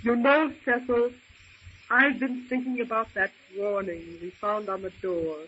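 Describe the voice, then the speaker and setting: An actress playing a practical, gracious, genteel young woman castaway in an old-time radio drama, polite and expressive. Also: fairly high pitch, unhurried, slightly rough. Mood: puzzled. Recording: muffled, hissy old radio recording.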